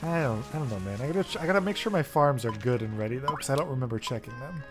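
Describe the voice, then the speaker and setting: A man's voice: medium pitch, lively intonation, racing, over music. A young man chatting casually while playing a video game with a friend, laughing often. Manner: laughs a lot, reads game lines aloud. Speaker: gameplay co-host. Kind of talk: casual gaming chat.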